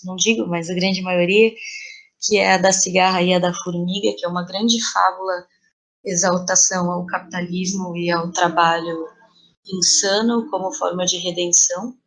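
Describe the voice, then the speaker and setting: A Brazilian woman speaking reflectively as an interview guest, pausing and repeating phrases as she thinks. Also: medium pitch, rough recording. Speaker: playwright and theatre artist, podcast guest.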